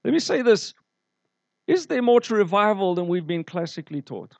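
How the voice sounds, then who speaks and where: Pastor preaching, dull dry sound. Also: male voice, high voice, sing-song, moderate pace, creaky, no echo. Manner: storytelling preacher, asks the congregation questions. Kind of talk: sermon.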